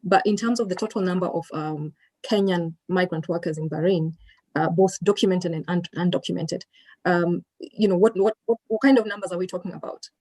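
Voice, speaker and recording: A woman's voice: lowish voice, fairly even intonation, fairly quick, mellow. A woman moderating an online panel, asking questions politely and hesitantly.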